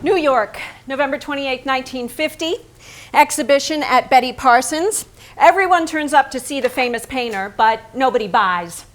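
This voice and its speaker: Bitter wife for a staged play, female actor, higher-pitched, sardonic, biting, possessive. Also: animated, moderate pace. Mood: cold, scornful. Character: Lee, embittered painter's wife, sharp-tongued, possessive, exasperated. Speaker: stage actress. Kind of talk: theatrical staged reading, narration mixed with dialogue.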